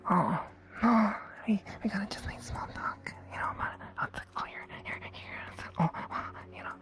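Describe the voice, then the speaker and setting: A woman playing a polite, hesitant agent in a fantasy roleplay, halting and apologetic, with a breathy voice. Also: mid-range voice, natural voice. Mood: flustered, apologetic. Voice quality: breathy, whispery. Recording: clear music behind a soft voice. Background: background music.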